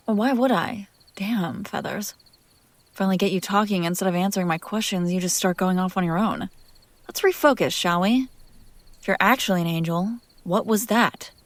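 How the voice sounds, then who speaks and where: A woman's voice: mid-range voice, normal speed, no room sound, natural voice. A woman playing a possessive, sardonic and curious female demon in an intimate, wry audio roleplay spoken to the listener. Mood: baffled, irritated. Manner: teasing, wry, conversational, breaking into hushed whispers.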